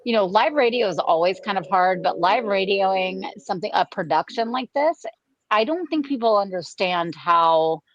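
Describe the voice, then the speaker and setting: A woman's voice: mid-range voice, lively intonation, normal speed, no room sound. A woman chatting casually as a radio play performer, effusive and emphatic in how she talks. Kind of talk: casual chat. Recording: slightly dull, lo-fi audio.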